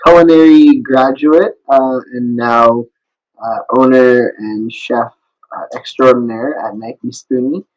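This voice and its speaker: A man who is a chef, introducing himself casually as a guest in a halting, playfully formal way. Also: medium pitch, fairly even intonation, highs cut off.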